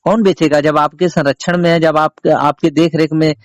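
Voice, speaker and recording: A man's voice: fairly high pitch, fairly even intonation, moderate pace, dry recording. A man interviewing someone as a reporter on a recorded phone call, pressing his questions emphatically and repeating himself. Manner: insistent, repetitive, emphatic questioning.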